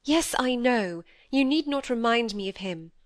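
A woman's voice: fairly high pitch, animated, measured pace, dry recording, pitched above the performer's own voice. A woman voicing a nervous, scornful young woman in an audiobook, emphatic and self-pitying. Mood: agitated, defiant. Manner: emphatic, agitated. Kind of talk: acted audiobook dialogue.

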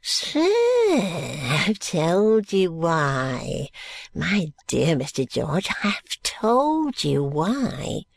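A woman's voice: sits low, very animated, very slow, creaky, husky, dry recording, heavily acted. A woman voicing a breathless, wheedling and spiteful old male moneylender in a storybook reading. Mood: insistent. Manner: fawning endearments breaking into angry curses.

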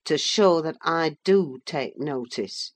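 A woman plays a brooding, jealous teenage boy from a classic storybook. The voice is fairly low and unhurried, blunt and insistent in its sullenness. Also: animated, heavily acted. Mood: hurt, insistent. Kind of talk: audiobook character acting.

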